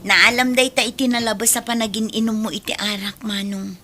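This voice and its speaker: An actress playing a dutiful, exasperated young adult daughter and sister in a radio drama, earnest in manner. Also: female voice, a little high, fairly even intonation, brisk, sharp tone. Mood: grave, scolding. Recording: faint background noise.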